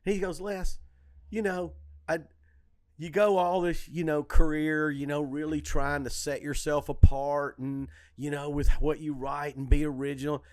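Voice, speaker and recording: A middle-aged man chatting casually as a podcast host, telling stories in an animated, opinionated way and swearing freely. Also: fairly high pitch, measured pace, no echo, studio quality. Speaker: comedy club owner and comedian, podcast host. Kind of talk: casual shop-talk chat.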